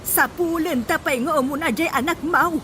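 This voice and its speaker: Woman acting a high-voiced fretful grandmother. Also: moderate pace, sharp tone. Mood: fretful chiding. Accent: Ilocano. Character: fretful older grandmother, chiding her daughter. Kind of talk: Ilocano radio drama acting. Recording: faint background noise.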